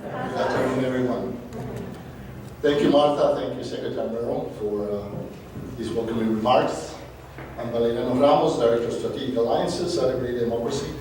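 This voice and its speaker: A man's voice: medium pitch, fairly quick, darkish tone. A man with a cold giving polite welcoming remarks as a program director, thanking people with frequent fillers. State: has a cold. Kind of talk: welcoming speech. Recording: very echoey hall, distant mic, faint hiss.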